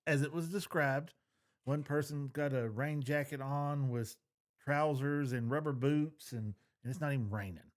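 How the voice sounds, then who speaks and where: A man chatting casually as a podcast co-host, opinionated and informal, with the odd swear word. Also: mid-range voice. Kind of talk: casual fan discussion.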